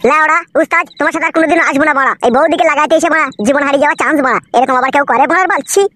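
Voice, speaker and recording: A man voicing a cheeky, foul-mouthed young male troublemaker in a comedy cartoon, with a pitched-up voice. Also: racing, bright, forceful tone, slight background noise. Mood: cheeky, breathless. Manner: crude, slangy, complaining.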